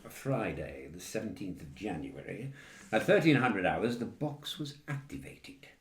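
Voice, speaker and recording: Brief: officious British civil servant, precise briefing style with wide pitch movement, radio satire, echoey room. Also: male voice, expressive, a little slow. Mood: measured, uneasy. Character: formal, dutiful male government official giving a briefing.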